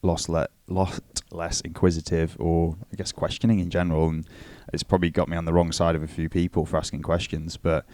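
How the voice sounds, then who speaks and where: A man's voice: low voice, little pitch movement, a little fast, few pauses, a little mellow, dry recording. A man, chatting casually and candidly as a matter-of-fact podcast guest. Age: 20s. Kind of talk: casual chat. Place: small cluttered office, indoors.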